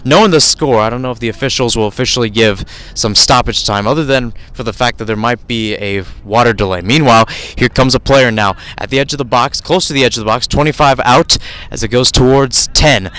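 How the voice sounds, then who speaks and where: Male radio soccer commentator, mid-pitched voice, live outdoor call, digressive and self-correcting. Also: a little fast, fairly bright tone, clean tone. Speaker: radio play-by-play announcer. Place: outdoors at youth soccer fields.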